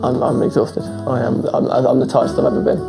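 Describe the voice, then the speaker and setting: A man's voice: mid-range voice, flat delivery, brisk, gravelly, over loud music. A young man in his twenties, tired and anxious, talking candidly at home during a house renovation. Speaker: renovating homeowner. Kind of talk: candid reality TV talk. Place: indoors at home.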